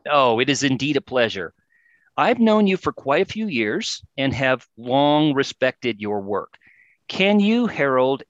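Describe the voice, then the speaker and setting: A man's voice: medium pitch, no room sound. A man hosting a podcast who interviews his guest in a friendly, polite way. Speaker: podcast host. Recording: dry, dull lo-fi sound.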